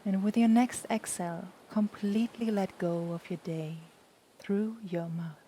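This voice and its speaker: A woman guiding a yoga nidra relaxation in a soft, soothing, unhurried voice with long pauses. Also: medium pitch. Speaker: yoga nidra meditation guide. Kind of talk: guided relaxation meditation. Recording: steady background noise, ocean waves. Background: sound of the ocean.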